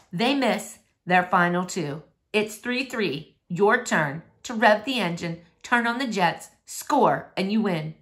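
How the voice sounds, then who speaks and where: A woman reading a children's novel aloud in an expressive storytelling style. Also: mid-range voice. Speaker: storybook reader.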